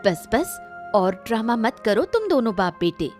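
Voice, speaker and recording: Lady doing a bossy mother-in-law in a cartoon, sharp and scolding, soft music behind. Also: on the high side, big pitch swings, a little slow. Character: scheming sharp-tongued mother-in-law, middle-aged. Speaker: voice actor in an animated story.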